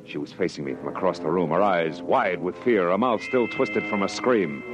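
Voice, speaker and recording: An actor playing a tough, sardonic private detective in clipped, slangy 1940s radio style. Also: male voice, sits low, normal speed, natural voice. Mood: tense narrating. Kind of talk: 1940s radio acting, first-person hard-boiled narration. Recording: dull old radio recording with music.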